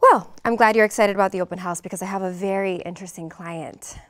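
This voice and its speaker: A woman acts out a poised, flirty businesswoman at a script table read. Her voice sits at a medium pitch, and her delivery is coy and teasing. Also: fast, close to an everyday voice. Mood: teasing, businesslike. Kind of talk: screenplay table-read acting.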